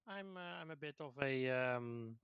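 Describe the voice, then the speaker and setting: Smooth male voice on dull, dry audio. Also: medium pitch, lively intonation, measured pace, no echo. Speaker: player in online roleplay. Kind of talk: casual improvised roleplay chat.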